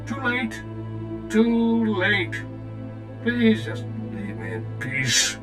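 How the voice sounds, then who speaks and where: Bitter defeated old man in a video game, high voice that moves around a lot. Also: big pitch swings, extremely slow, full character voice. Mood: resigned. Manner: bitter, resigned. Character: bitter, defeated old male local, resentful and weary. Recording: music behind the voice.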